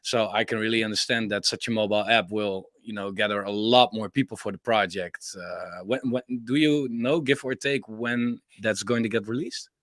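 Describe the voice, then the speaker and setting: A man hosting a casual interview, eager and quick to react, often stumbling over the start of his words. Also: lowish voice, fairly even intonation, fairly bright tone, dry recording, studio quality. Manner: enthusiastic, stammers on word starts. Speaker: interviewer, channel host.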